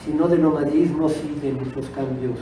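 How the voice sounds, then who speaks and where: A man's voice: fairly high pitch, steady tone. Echoey, hesitant man, native Italian speaker. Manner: hesitant, reflective, pausing to reword. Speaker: guest author, poet. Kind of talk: unscripted public talk. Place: auditorium at a book fair. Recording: distant echoey auditorium, noisy background.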